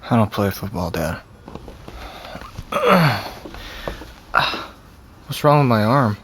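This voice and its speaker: Guarded, deadpan young man. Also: fairly low pitch, takes it slowly, a little hiss or hum, full character voice. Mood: groggy, defensive. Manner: deadpan, terse. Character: guarded, sardonic young man, wounded and evasive. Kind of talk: naturalistic audio drama acting.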